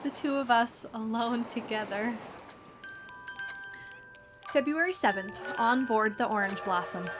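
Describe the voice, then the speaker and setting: A woman's voice: fairly high pitch, measured pace, long pauses, music in the background, natural voice, low-quality recording. A woman playing a naive, romantic new bride in old-fashioned radio melodrama, genteel and given to dramatic hesitations. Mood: dreamy, romantic.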